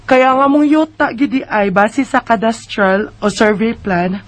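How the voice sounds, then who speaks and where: A woman's voice: a little high, measured pace, natural voice. Brazen Bisaya neighbour woman, played by an actress. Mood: brazen, defiant. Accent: Filipino Bisaya. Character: brazen, stubborn woman neighbour grabbing land. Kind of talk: Bisaya radio drama acting.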